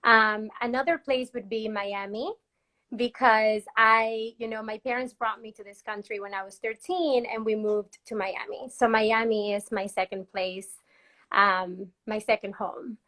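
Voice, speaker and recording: A woman's voice: fairly high pitch, steady tone, measured pace, sharp tone. A young woman from Peru, a guest in a casual video-call interview, chatty with lots of fillers and laughs. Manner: chatty, laughs often, many fillers and restarts.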